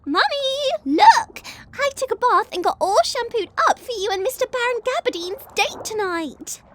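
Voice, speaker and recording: A woman voicing a bubbly, excitable little sea pony filly with eager, childlike chatter. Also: extremely high pitch, moderate pace. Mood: excited, proud.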